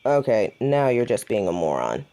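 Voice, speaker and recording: A woman's voice: very deep voice, sing-song, moderate pace, dark tone, heavily acted. A woman playing a stubborn, hot-headed young female werewolf with a defiant, sarcastic manner in a romantic audio roleplay. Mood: defiant, irritated.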